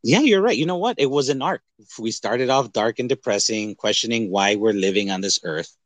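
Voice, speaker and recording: A man co-hosting a podcast in casual movie chat, rambling in an animated, hyperbolic way. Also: mid-range voice, normal speed, dry recording. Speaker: podcast co-host.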